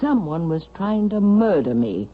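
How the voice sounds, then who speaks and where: A woman's voice: lowish voice, very animated, a little slow. An actress playing a troubled, stubborn mother in a 1970s radio drama. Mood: urgent, fearful. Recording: thin phone-like vintage radio sound.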